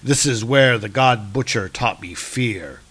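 A man's voice: mid-range voice, measured pace, fairly bright tone, breathy edge. A man voicing a proud, grave warrior god of thunder with declamatory, heroic speech for a comic-book story. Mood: solemn reminiscing.

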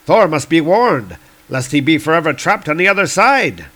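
A man's voice: fairly high pitch, sing-song, moderate pace, a bit bright, voice pushed up. A man voicing a gallant, urgent warrior from a comic book, in earnest, flowery archaic speech. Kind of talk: reading comic book dialogue aloud.

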